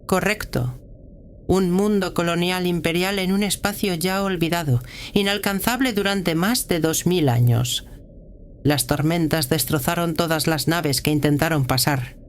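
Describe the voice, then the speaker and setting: A woman playing a grave, guarded prioress of a militant religious order in a sci-fi audio drama, speaking with measured authority. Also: lowish voice, a little hiss or hum, lightly acted. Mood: grave, informative.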